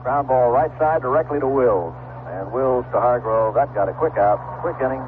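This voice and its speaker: A man's voice: medium pitch, moderate pace. Tinny vintage radio baseball announcer, male. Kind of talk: live radio sports commentary. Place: ballpark broadcast booth. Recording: tinny, noisy 1970s radio broadcast.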